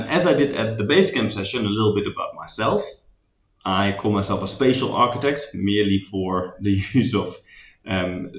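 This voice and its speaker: A man from the Netherlands with a Dutch accent, giving a relaxed, rambling recap of a design workshop he presented, with many ums and restarts. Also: lowish voice, reverberant.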